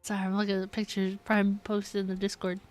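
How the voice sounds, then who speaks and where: A woman's voice: medium pitch, completely flat delivery, fast talker, a little mellow. A woman game streamer chatting casually while she plays. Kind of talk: casual live gameplay chat.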